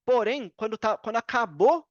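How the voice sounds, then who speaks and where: Male podcast guest, Brazilian accent, high-pitched, casual storyteller, dry recording with dulled sound. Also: animated, normal speed, no echo, lo-fi sound.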